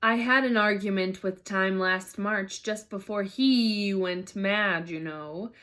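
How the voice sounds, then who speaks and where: A woman's voice: medium pitch, takes it slowly, a bit bright. Lady doing a crazy sad hatter man from a kids book, dramatic, takes his time. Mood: mournful. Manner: theatrical, mournful, emphatic. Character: mad, mournful male hatter, eccentric tea-party host.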